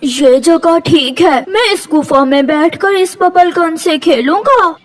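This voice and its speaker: A woman's voice: very high voice, slow pace. Woman doing a whiny little crow boy. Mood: sly. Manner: whiny, wails when scared.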